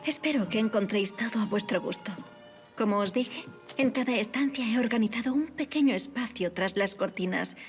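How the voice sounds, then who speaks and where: An actress plays a young lady of high society in a period audio drama. Her voice sits a little high. She is gracious and affectionate, with formal, witty, self-assured speech. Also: moderate pace, soft background music, close to an everyday voice.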